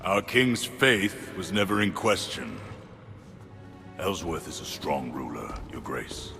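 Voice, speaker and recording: An actor playing a solemn older royal counselor in a game, measured and weighty with a dry, sceptical edge. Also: male voice, sits low, creaky, sharp tone, soft background music, full character voice. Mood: cautioning. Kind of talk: dramatic video game cutscene acting.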